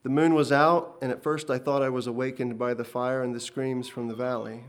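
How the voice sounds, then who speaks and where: A man playing an earnest, shaken old prophet recounting a vision in a hushed voice. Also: medium pitch, flat delivery, a little fast, natural voice.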